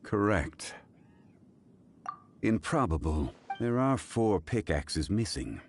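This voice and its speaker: A man voicing a composed, scholarly gentleman investigator in a video game, speaking in a formal, deliberate, analytical way. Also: fairly low pitch, big pitch swings, slight background noise, exaggerated voice. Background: music.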